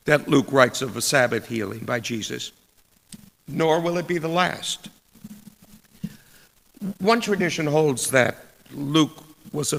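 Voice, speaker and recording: A man reads a scripted sermon aloud as a preacher, in a deliberate, measured way, pausing between short phrases in a large church. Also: fairly high pitch, gravelly, fairly bright tone. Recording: long church reverb.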